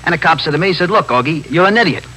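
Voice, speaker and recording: An actor playing a nervy, talkative small-time drug pusher in a 1950s radio drama, rambling on in streetwise slang and justifying himself. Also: male voice, on the high side, lively intonation, racing, pushed and bright. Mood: rambling, rueful. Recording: noisy 1950s radio broadcast recording with hum.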